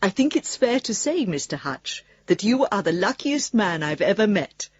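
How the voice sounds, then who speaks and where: An actress playing a thoughtful, cautiously excited woman, reading a gentle, tentative love letter aloud in a radio drama. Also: medium pitch, moderate pace, slightly rough, no echo, lo-fi sound. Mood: tender, hesitant.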